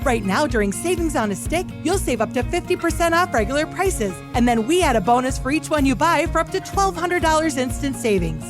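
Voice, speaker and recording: A woman delivers a scripted commercial as the face of a business. Her voice is clearly high, and a music bed plays behind her. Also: expressive, fairly quick, few pauses. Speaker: business spokesperson in an advertisement. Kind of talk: scripted radio ad read.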